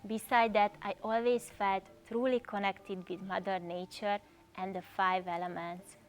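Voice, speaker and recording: A woman's voice: mid-range voice, level tone, unhurried, soft background music. A woman from Hungary, an energy healer giving a scripted introduction of herself.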